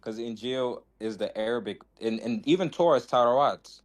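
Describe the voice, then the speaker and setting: A man in an informal religious debate, speaking defensively and haltingly as he explains his position. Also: medium pitch. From West Africa, Sierra Leone and Senegal background. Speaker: debate participant.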